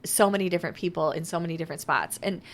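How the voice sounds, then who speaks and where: Woman interviewer, somewhat low pitch, casual, friendly, enthusiastic, encouraging. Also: racing, a little hiss or hum.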